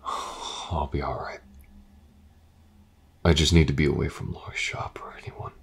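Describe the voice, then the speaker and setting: An actor playing a brooding, vengeful detective in an intimate audio roleplay, muttering haltingly, often near a whisper. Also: male voice, very deep voice, steady tone, gravelly, slightly rough, heavily acted. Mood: weary, subdued. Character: brooding, vengeful, grief-stricken male detective.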